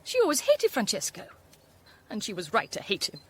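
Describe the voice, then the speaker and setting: A woman's voice: a little high, wildly swooping pitch, brisk, breathy edge, exaggerated voice. A woman playing a grieving, dignified widow figure in a radio drama. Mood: shocked, firm.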